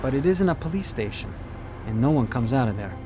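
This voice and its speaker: An actor playing a wily, persuasive police officer in a video game, speaking in a coaxing, insinuating way. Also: male voice, medium pitch, lively intonation, fairly quick, music in the background, lightly acted. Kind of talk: dramatic video game acting.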